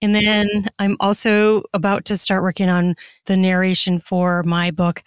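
A woman speaks in a medium-pitched voice with an easy, chatty flow. She sounds like a podcast guest giving casual, expansive answers. The sound is dry, with very little room in it. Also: monotone, measured pace, smooth, no room sound. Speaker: podcast guest, erotica author and audiobook narrator. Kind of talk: casual conversational interview answers.

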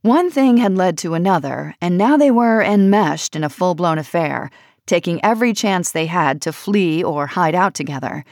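Low-pitched woman narrating, very dry sound. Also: lively intonation, moderate pace, darkish tone, no echo. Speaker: audiobook narrator reading an essay. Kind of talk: scripted narration, personal essay audiobook.

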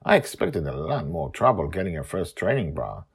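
A man voicing a brisk, kindly grandmother in a storybook reading, upbeat and soothing. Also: low-pitched, very animated, vocal fry, husky, exaggerated voice. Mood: pleased.

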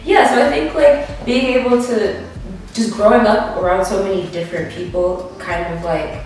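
A woman's voice: medium pitch, steady tone, normal speed, darkish tone, distant mic, faint music behind. A woman in her twenties from the DC area, a guest speaking candidly in casual conversation with lots of likes and restarts. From DMV area, US. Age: 20s. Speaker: podcast guest, model and DJ. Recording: roomy echo, from off-mic.

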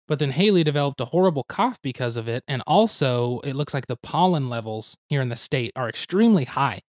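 A man from Oklahoma whose voice is a little hoarse from allergies, rambling along easily in casual podcast chat. He sits close to the mic in a dry room with no echo, his voice at an ordinary pitch. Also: lively intonation, a little fast, darkish tone. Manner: rambling, easygoing. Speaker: podcast co-host.

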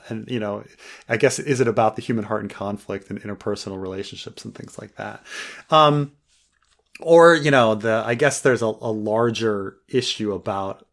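A man's voice: lowish voice, creaky. A man co-hosting a podcast, chatting casually and rambling with many fillers and restarts. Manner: laughs while joking. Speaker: podcast co-host. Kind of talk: casual review chat.

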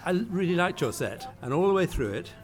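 A man's voice: high-pitched, sing-song, fast talker. A man interviewing a band at a music venue, asking hesitant, rambling questions. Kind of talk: casual interview. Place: music venue after a set. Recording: busy crowd chatter behind.

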